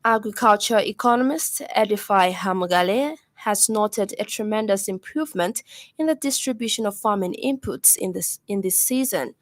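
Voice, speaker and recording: A woman news reader with a Zambian accent delivers a radio bulletin. Her pitch sits in the middle range and her delivery is formal and measured. The sound is close and dry, as in a studio. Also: no room sound. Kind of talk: scripted news bulletin reading.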